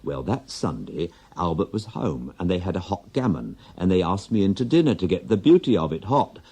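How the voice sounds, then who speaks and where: A man's voice: sits low, big pitch swings, a little fast, dark tone, slightly rough, heavily acted. A man voicing a gossipy, blunt working-class woman who scolds and chatters colloquially in a dramatic poem reading. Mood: chatty.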